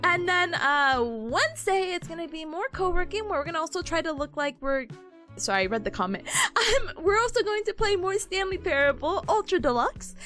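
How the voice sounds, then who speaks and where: Young woman, study streamer, playful, giggly, very high voice, lively swoops. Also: fairly quick, soft background music. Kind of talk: casual chat with viewers.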